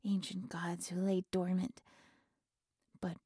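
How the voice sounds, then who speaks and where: Breathy, obsessively devoted cultist woman, acted out. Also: on the low side, monotone, slow pace, dry recording, studio quality, exaggerated voice. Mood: earnest confiding. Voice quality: soft, breathy, often dropping into whispers. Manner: gushing, reverent, theatrical. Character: giddy, devoted young female cultist, obsessively worshipping her captive. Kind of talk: intimate audio roleplay monologue.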